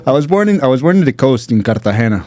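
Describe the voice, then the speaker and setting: A man from Colombia with a Colombian Spanish accent, a podcast guest who talks in a relaxed way, hesitant, with many fillers. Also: medium pitch, racing, a little hiss or hum. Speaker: guest, general manager. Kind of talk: casual interview.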